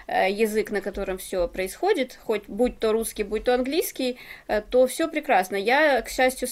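A woman who teaches, co-hosting an online show, talking casually and hesitantly with fillers over a call microphone. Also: on the high side. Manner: hesitant, fillers, firm when interrupted. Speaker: co-host and language teacher. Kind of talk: casual panel discussion.

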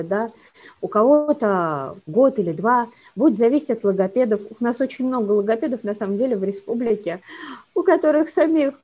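Higher-voiced woman explaining on a dull recording. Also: husky. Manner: measured, explains at length. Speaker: guest educator on speech sound correction. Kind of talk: explanatory conversation.

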